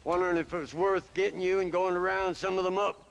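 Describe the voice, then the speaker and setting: An actor playing a lazy, self-pitying old ranch hand with a gravelly voice and a rural Western American accent in a video game. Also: male voice, high-pitched, little pitch movement, fast talker. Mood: grumbling. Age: older. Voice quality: creaky, gravelly. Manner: whiny, grumbling. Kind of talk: video game cutscene acting.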